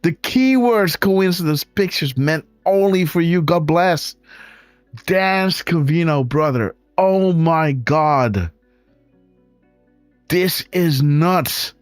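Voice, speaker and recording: A man with a Dutch accent hosting a live show, excitedly commenting on pictures and calling out to his viewers. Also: a little high, slow, no room sound, faint music behind. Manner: excitable, exclaiming, talks to viewers as guys. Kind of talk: casual live-stream commentary on images.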